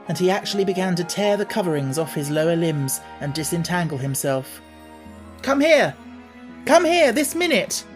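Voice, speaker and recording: Man reading a classic audiobook. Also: fairly high pitch. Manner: measured, expressive storytelling. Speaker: audiobook narrator. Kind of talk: audiobook narration.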